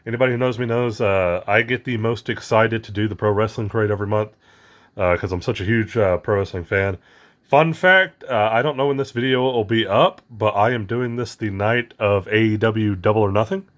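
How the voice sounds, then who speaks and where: A man with a hoarse, raspy voice from losing it, chatting casually as the host of an unboxing vlog, rambling with lots of fillers. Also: on the low side, fairly quick. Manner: rambling, lots of ums and uhs.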